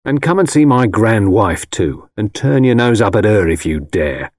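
A man's voice: fairly low pitch, sing-song, normal speed, a little mellow, dry recording, studio quality. A man playing a defensive young working-class son, impatient and sarcastic, in an audiobook story. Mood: irritated, sarcastic. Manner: sarcastic, brusque.

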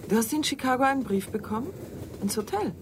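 A woman's voice: a little high, quick pace, close to an everyday voice. An actress playing a cool, evasive middle-aged woman in an intimate radio drama, guarded and dryly teasing. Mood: defensive. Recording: noisy ambience behind dialogue.

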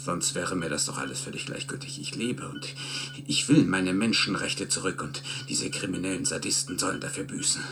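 A man's voice: deep voice, gravelly, a bit bright, soft background music. An actor playing an embittered, desperate male professor in a German science-fiction radio play, insistent and strained. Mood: bitter, desperate. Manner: insistent, strained, halting outbursts.

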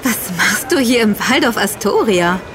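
A woman's voice: mid-range voice, animated, normal speed, background noise, natural voice. An actress in a German audio drama playing a sassy, fretful young woman. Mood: sassy, questioning. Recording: loud music behind the voice.

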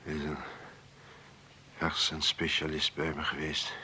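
Sombere oude oom met heel diepe stem. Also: male voice, extremely low pitch, monotone, bright, forceful tone, slight background noise, exaggerated voice. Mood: despondent. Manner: halting, weary, resigned. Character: gloomy, ailing, wealthy old uncle and art collector. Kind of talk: Dutch radio play acting.

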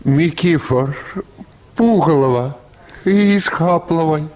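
An actor playing a meek, pleading peasant in a Russian radio play, humble, rustic and deferential. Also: male voice, a little high, slow pace, darkish tone.

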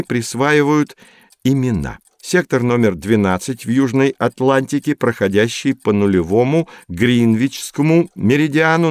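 A man's voice: medium pitch, no echo. Dry-recorded man hosting an educational programme. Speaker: host of an educational audio programme. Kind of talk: explanatory narration for children.

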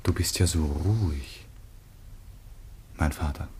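An actor playing a dutiful, troubled grown son in a classical radio play, speaking with solemn, measured reverence. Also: male voice, a bass voice, mellow, a little hiss or hum. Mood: hushed, bewildered.

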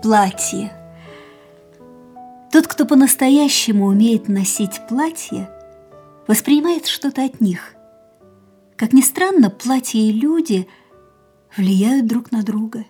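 An actress playing an ailing, proud and wistful young woman, reflecting in a measured, theatrical way in a radio drama. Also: on the high side, animated, dark tone, husky, faint music behind, exaggerated voice. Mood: musing, assured. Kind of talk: radio play dialogue and inner monologue.

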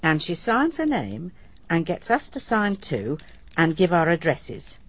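Humble widowed charwoman, lowish husky voice, lively pitch, dull radio recording. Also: a little slow, heavily acted. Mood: deferential. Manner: deferential, plain-spoken storyteller. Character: widowed village cleaning woman, anxious, humble. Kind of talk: radio drama acting.

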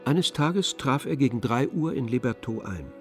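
A man narrating a literary radio play in a measured, composed manner. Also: mid-range voice, animated. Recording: dry studio with faint music.

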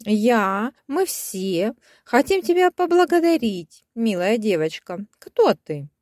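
A woman's voice: on the high side, sing-song, slow, darkish tone, dry recording, clean studio sound. Woman voicing a stately, gracious elder mermaid. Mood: solemn gratitude. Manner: solemn, gracious.

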